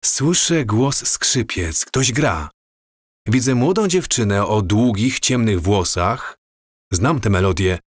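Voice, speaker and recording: Slow, low-voiced dreamy man, acted by a man. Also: lively intonation, husky, dry recording, clean studio sound, heavily acted. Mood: dreamy, absorbed. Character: dreamy man wandering awestruck through prewar Warsaw. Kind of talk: audio drama, descriptive monologue.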